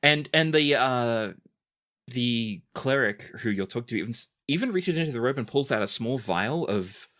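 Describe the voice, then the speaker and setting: A man's voice: medium pitch, expressive, moderate pace, a bit bright. A man speaking as game master, improvising narration for a tabletop game in a conversational, hesitant way.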